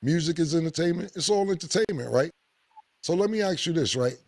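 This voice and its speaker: Blunt gravelly New podcast host. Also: male voice, brisk, no echo. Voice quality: deep voice. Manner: blunt, animated, swears freely. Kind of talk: casual opinionated podcast talk.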